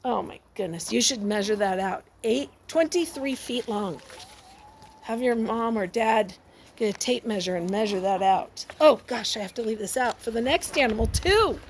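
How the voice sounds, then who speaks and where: A woman reading a picture book aloud to young children, expressive and exclamatory, often breaking off to ask her listeners questions and add asides. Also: on the high side, moderate pace, slight background noise.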